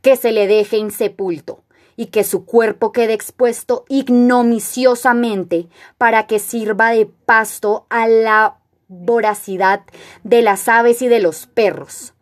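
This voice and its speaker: A woman reciting a classical tragedy monologue as a stern, proud king, in a solemn, measured, declamatory manner. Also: on the high side, fairly even intonation. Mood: solemn, commanding. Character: stern, proud male king addressing elders.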